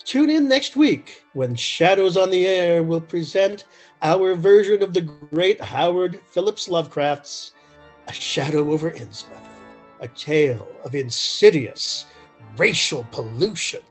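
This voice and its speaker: A man playing a solemn, theatrical old-time radio announcer with deliberate delivery. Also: on the high side, animated, soft background music. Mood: solemn, salesmanlike.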